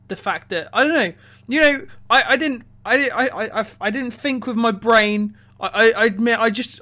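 A man's voice: high-pitched, bright, forceful tone. A man co-hosting a casual film podcast, speaking hesitantly and often repeating words as he forms his opinion. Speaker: podcast co-host, film reviewer. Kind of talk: casual chat about film.

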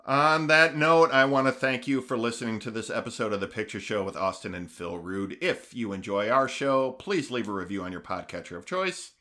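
Bright-voiced man, halting podcast chat. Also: mid-range voice. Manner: halting, many fillers and restarts, grows eager. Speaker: podcast co-host.

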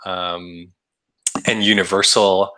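Bright-toned male interviewer. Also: low-pitched, level tone, slow. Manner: conversational, leaning on "you know" and "kind of". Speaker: podcast host, interviewer. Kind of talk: casual interview conversation.

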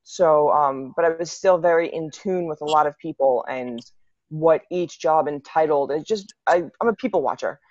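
A woman's voice: low-pitched, normal speed, darkish tone, no echo. A young adult woman, a city EMT and fitness coach, chatting casually and often restarting her sentences. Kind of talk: casual podcast conversation. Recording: dull lo-fi, dry sound.

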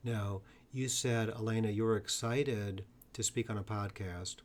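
Earnest podcast host, lowish voice. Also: male voice, fairly even intonation, unhurried, a little hiss or hum. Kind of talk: podcast conversation.

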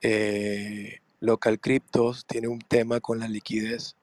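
A hoarse man, speaking very slowly and hesitantly as he explains. His voice sits low, and the recording is dry and close. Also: level tone, dry recording. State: hoarse from laryngitis. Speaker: podcast guest. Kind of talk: explaining on a podcast.

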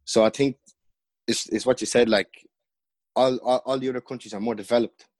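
A young man from the Netherlands, a music artist and podcast guest, telling stories in relaxed, slangy casual chat with lots of fillers. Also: medium pitch, fairly quick, no room sound.

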